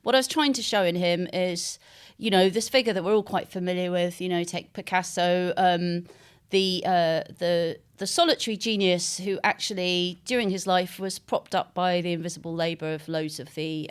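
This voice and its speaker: A woman speaking as an author in an interview, explaining her writing in a reflective, halting way. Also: lowish voice, steady tone, smooth. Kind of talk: interview talk about own writing.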